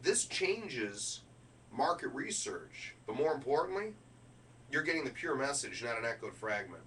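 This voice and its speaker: A man delivering a motivational business keynote speech in an emphatic, deliberate way, punching key words as a speaker. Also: mid-range voice, very animated, fairly bright tone. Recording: distant microphone with faint noise and hum.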